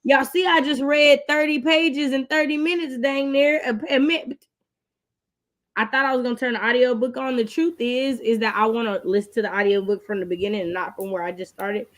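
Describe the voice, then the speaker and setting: Animated American woman, higher-pitched voice. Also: a little fast. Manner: animated, colloquial, emphatic. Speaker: host of a book readalong. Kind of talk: casual livestream chat.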